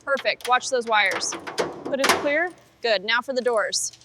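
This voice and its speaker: A woman's voice: fairly high pitch, measured pace, heavy background noise. A woman speaking as a car restoration mechanic, narrating tasks in short phrases as she works. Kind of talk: short task narration while working.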